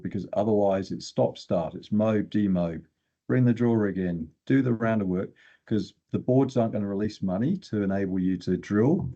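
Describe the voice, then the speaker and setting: Older male technical guest, deep and creaky, plain-spoken explainer, a little muffled on the recording. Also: dark tone. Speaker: guest expert in exploration geochemistry.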